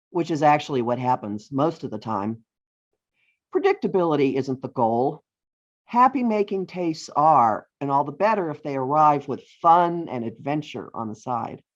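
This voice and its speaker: A middle-aged or older woman reading her own humorous essay aloud with deliberate phrasing and wry emphasis, as an author on a video call. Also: sits low. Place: virtual author talk over video call. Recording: dull, lo-fi online call audio.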